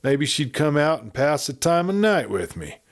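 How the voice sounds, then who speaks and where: A man's voice: fairly high pitch, very animated, normal speed, voice pushed up. A man voicing a bold young outlaw who talks in an easy, teasing way. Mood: cocky.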